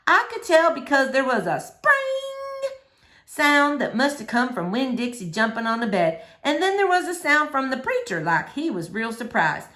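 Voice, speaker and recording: A woman reading a children's novel aloud in an expressive, animated storytelling manner. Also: high voice, moderate pace. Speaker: storybook reader.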